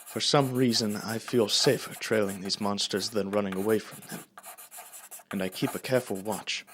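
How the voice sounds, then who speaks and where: A man's voice: lowish voice, steady tone, a bit bright, slight background noise, close to an everyday voice. A young actor playing a haunted professor, a lone survivor solemnly narrating his journal in a theatrical radio drama. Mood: weary, watchful. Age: young adult. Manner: solemn, deliberate, brooding.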